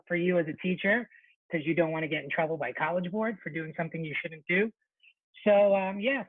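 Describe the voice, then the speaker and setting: A man's voice: sits high, dry recording. Man on a thin, phone-like line. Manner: relaxed, chatty, corrects himself often. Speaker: teacher trainer presenting a webinar. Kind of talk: informal webinar presentation. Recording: thin phone-like webinar audio, dry.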